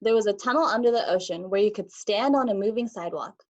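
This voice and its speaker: A woman's voice: mid-range voice, quick pace, a little mellow. A woman teacher reading a picture book for children, recorded with dull, lo-fi sound. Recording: dull lo-fi audio.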